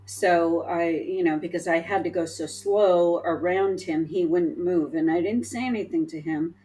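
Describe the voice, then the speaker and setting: A woman telling a personal story in casual chat, halting and restarting often, with a trained, projecting voice. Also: fairly low pitch, warm tone, poor audio. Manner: slow, halting, many restarts.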